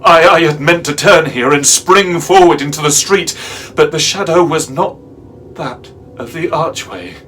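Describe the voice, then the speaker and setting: Slow, haunted male storyteller acted by a man. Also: on the low side, lively intonation, fairly bright tone, soft background music. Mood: desperate terror. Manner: deliberate, theatrical, halting with long pauses. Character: haunted, fearful man recounting a nightmarish pursuit. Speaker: actor, narrator. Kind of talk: dramatic performed reading of a classic horror tale.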